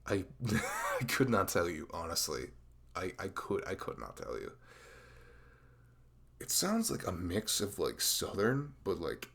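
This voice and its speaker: Very deep, creaky-voiced dorky young guy acted by a man. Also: wildly swooping pitch, a bit bright, heavily acted. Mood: sheepish. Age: young adult. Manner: halting, rambling, giggly and self-conscious. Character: dorky, sentimental young male best friend with a crush. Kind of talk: intimate ASMR roleplay audio.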